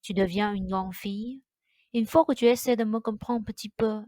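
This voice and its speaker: A woman's voice: medium pitch, fairly even intonation, moderate pace, dark tone, dry recording, close to an everyday voice. Woman playing a weary, pleading father. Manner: placating, imploring. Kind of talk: radio drama acting.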